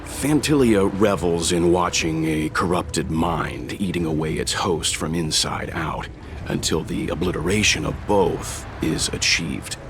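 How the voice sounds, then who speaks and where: A man playing a calm, learned middle-aged adviser in a game, explaining things in a grave, measured way. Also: sits low, creaky, background noise. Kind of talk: dramatic video game acting.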